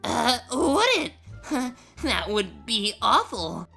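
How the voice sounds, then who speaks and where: A woman's voice: a little high, big pitch swings, slow pace, vocal fry, pushed and bright, faint music behind, exaggerated voice. A woman playing a sheepish, guilty female pony, hesitant and evasive, in a scripted comedy audio drama. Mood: nervous hedging.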